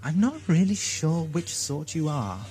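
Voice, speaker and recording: A man's voice: on the high side, sing-song, measured pace, a little mellow. Man as a wry, lightish-voiced sci-fi hero. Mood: sardonic, measured. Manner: dry, sardonic, challenging. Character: wry, principled time-travelling hero facing a villain. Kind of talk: audio sci-fi drama acting. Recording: music underneath.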